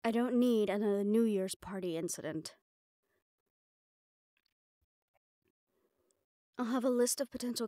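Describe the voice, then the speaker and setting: Audio roleplay: sardonic female personal assistant to a crime boss, played by a woman, mid-pitched, businesslike yet familiar, dry close sound. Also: dark tone, dry recording, close to an everyday voice. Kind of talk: intimate scripted audio roleplay, one-sided dialogue.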